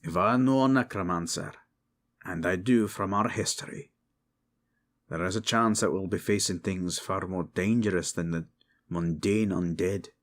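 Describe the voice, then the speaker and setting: A man's voice: low voice, moderate pace, close to an everyday voice. A man voicing a dour but generous dwarf king with a blunt, commanding way, in a fantasy audio drama. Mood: solemn.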